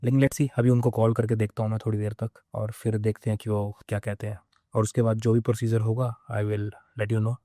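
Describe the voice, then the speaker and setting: A man's voice: on the low side, flat delivery, a little fast, dark tone, dry recording, clean studio sound. A man, talking casually as a vlogger. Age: 20s. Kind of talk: casual vlog talk mixing Hindi and English.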